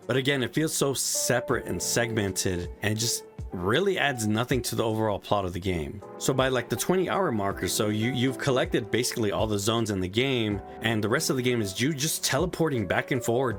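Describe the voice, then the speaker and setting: A man giving a frustrated, casual spoken game review, swearing often as he rants. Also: mid-range voice. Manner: frustrated ranting, frequent swearing.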